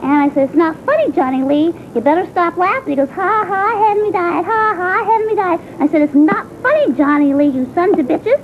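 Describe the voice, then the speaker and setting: Whiny little schoolgirl, squeaky high voice, indignant, rambling, childlike, character monologue, tinny recording. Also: sing-song, fairly quick, hardly any pauses. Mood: aggrieved. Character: sulky, aggrieved young schoolgirl, naive and foul-mouthed. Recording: tinny phone-like band, faint rumbling noise.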